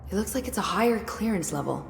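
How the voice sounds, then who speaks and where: An actress playing a witty, curious young research scientist in a video game, explaining things in a precise, wry, chatty way. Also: female voice, mid-range voice, animated, fast talker, vocal fry, faint music behind. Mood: chatty, playful. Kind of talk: video game dialogue acting. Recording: echoey hall reverb with noisy game ambience.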